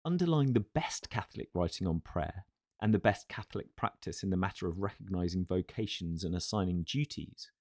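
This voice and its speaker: A man with a fairly low voice narrates a scholarly text. His delivery is formal and deliberate, with measured phrasing, and the sound is dry and close. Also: expressive, a little mellow, no room sound. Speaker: audiobook narrator. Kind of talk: scripted narration of philosophical text.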